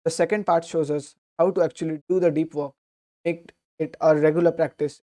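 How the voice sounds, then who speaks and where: Young adult man, slightly hoarse, dark mellow tone, steady earnest explainer. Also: fairly high pitch, brisk. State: slightly hoarse from a sore throat. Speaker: book summary host. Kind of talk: explanatory book summary talk.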